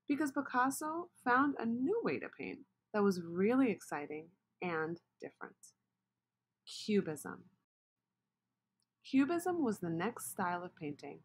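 Woman, children's book read-aloud, animated pitch, breathy tone, asks listeners questions. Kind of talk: read-aloud storybook narration.

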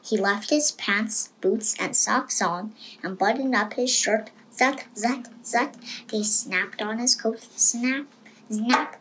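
A woman's voice: a little high, slow, a bit bright, slight background noise, low-quality recording. A woman reading a children's storybook aloud as narrator. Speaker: storybook reader.

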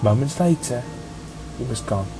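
A man narrating a scripted story as an audiobook reader, with a measured storytelling manner. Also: mid-range voice, lively intonation, music in the background, rough recording.

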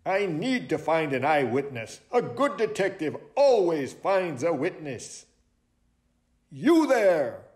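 A man voicing a dramatic, self-important owl detective from a children's storybook, excitable and declamatory. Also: a little high, slow, pitched above the performer's own voice. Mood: excited accusing. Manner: pompous, declamatory.

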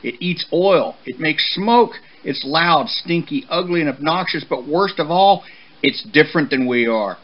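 A man voicing a stern, angry father horse in a children's storybook, forceful and commanding. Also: fairly high pitch, lively intonation, measured pace, lo-fi sound, voice pushed up. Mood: angry, scolding.

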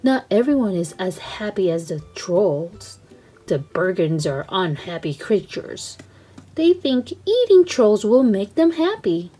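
A woman reading a children's storybook aloud in an expressive, simple storytelling manner for young children. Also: medium pitch, a little slow, breathy edge, soft background music, low-quality recording. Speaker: read-aloud channel host.

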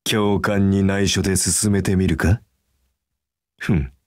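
A man voicing a calm, earnest, kindly masked hero in a mobile game, speaking in a measured, reserved, formal way. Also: low-pitched, no echo, clean studio sound. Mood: musing. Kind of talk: anime game character voice acting.

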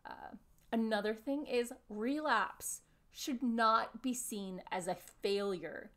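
A woman in her thirties speaks in a fairly high voice. She presents to camera from a room at home, laying out her points in an explanatory way. Her delivery is earnest, with clear emphasis on the points she makes. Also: slow, a bit bright. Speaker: video presenter summarizing a discussion panel. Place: small furnished room at home, indoors.

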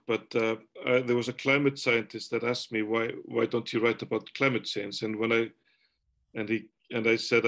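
Icelandic guy on a webcam call, pretty flat monotone, stops and restarts a lot. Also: medium pitch. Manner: thoughtful, halting, many restarts. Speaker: author. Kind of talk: video call conversation. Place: home room, indoors. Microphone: video call webcam.

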